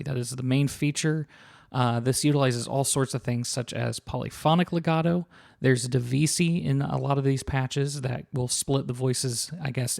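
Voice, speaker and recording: Hoarse gravelly man reviewing software. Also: medium pitch. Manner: relaxed, filler-heavy, restarts sentences. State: hoarse, scratchy voice. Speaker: sample library reviewer. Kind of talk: casual product walkthrough.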